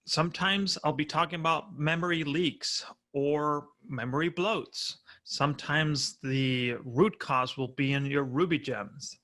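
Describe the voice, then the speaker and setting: A man's voice: a little high, a little slow, few pauses, bright, forceful tone. A man in his thirties from Argentina with an Argentine Spanish accent, giving a friendly, explanatory prerecorded conference talk from home. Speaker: conference speaker.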